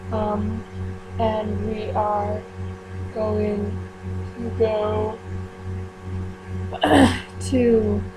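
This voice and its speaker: A woman's voice: medium pitch, flat delivery, drawn-out words, pauses often, over music, poor audio. A young woman vlogger talking casually, rambling through her plans with many fillers. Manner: rambling, filler-heavy planning talk. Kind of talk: casual chat. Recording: mains hum behind voice.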